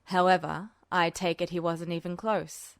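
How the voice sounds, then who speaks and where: A woman's voice: lowish voice, level tone, normal speed, no room sound, pitched below the performer's own voice, natural voice. A woman voicing a smug, composed male military officer in a podfic reading, cool and clipped with dry teasing.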